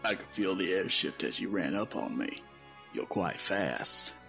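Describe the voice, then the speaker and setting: An actor playing a mocking, cocky villain wreathed in fire, with a folksy, drawling manner in an audio drama. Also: male voice, low voice, animated, a little slow, creaky, sharp tone, soft background music, exaggerated voice. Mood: taunting.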